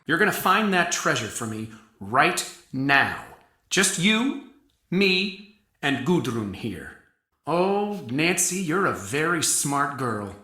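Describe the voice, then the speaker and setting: A woman's voice: deep voice, sing-song, takes it slowly, bright, forceful tone, roomy sound, heavily acted. A woman playing a menacing, taunting treasure-hunting villain in a video game, theatrical and gloating. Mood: smug, menacing.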